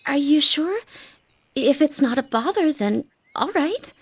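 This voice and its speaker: An actress playing a shy, polite young woman in anime game dialogue, soft-spoken and demure. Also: high voice, animated, a little slow, a little hiss or hum. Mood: happy, tender. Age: young adult.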